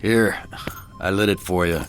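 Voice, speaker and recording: Weary, very deep-voiced Western sheriff. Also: male voice, fairly even intonation, unhurried, faint music behind. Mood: quietly kind. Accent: American. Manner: terse, sardonic, world-weary. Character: weary, plain-spoken small-town Western sheriff, grown man. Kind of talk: radio drama acting.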